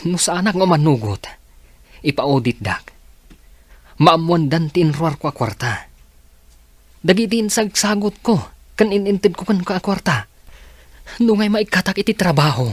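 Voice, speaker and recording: A man's voice: high voice, lively intonation, unhurried, pauses often, no echo. An actor playing a troubled, sighing young man pushed into an arranged marriage in a radio drama. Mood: anxious.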